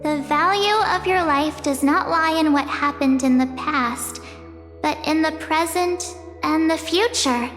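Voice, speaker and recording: A perky young girl adventurer in a game, very high-pitched and unhurried. She rambles in hesitant exclamations and questions to herself, in a long reverb. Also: a bit bright, faint music behind. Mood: excited recognition. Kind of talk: scripted game dialogue. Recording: long reverberant hall sound.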